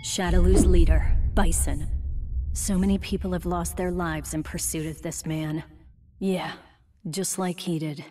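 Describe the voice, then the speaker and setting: An actress playing a focused female agent who narrates in a calm, matter-of-fact way in a game cutscene. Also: on the low side, measured pace, recorded from a distance, slight background noise, lightly acted. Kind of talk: video game voice acting.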